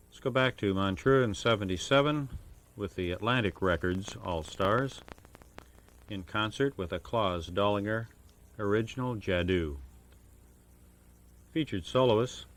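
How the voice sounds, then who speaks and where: Man, deep-pitched voice, radio announcer, formal and measured, carefully enunciated, studio microphone. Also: a bit bright. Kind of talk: scripted jazz radio announcing with track introductions. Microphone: broadcast studio microphone.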